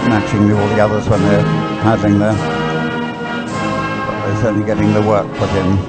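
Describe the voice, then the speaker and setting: A man with a fairly low voice gives informal live sports commentary over very loud music. He sounds unscripted, hesitating and repeating phrases as he follows the race. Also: completely flat delivery, moderate pace. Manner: hesitant, repeats himself while thinking aloud.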